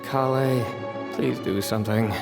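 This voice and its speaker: A man playing a calm, unbothered scholar in a video game, laconic and understated. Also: medium pitch, measured pace, vocal fry, over loud music. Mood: calm, resigned.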